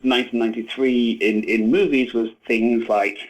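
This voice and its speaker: A man in his forties giving a thoughtful interview, speaking haltingly with repeated words and many verbal hedges. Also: medium pitch, fairly even intonation, poor audio. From Scotland. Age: 40s. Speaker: interview guest, novelist and screenwriter.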